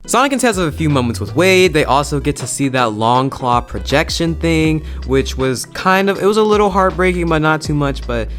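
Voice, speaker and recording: A man's voice: on the high side, expressive, normal speed, hardly any pauses, a bit bright, faint music behind. A young adult man giving a casual, enthusiastic and emphatic video movie review in slangy language. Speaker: YouTube reviewer.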